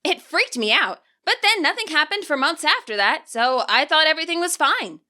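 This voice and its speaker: A woman's voice: sits high, big pitch swings, normal speed, pushed and bright, voice pushed up, heavily acted. A woman voicing a bubbly teen schoolgirl. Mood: chatty. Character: overeager chatty teen girl student council president.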